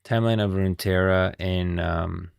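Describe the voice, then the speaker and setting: A man's voice: sits low, steady tone, moderate pace, gravelly, smooth, no room sound, clean studio sound. A man streaming casual game lore commentary, rambling and thinking aloud as he goes, often repeating himself. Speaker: streamer, host.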